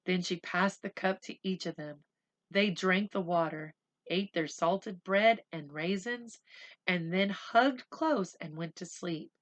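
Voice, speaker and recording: A woman reading a storybook aloud for children, with expressive, deliberate phrasing. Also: fairly low pitch. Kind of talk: read-aloud storytelling with simple asides.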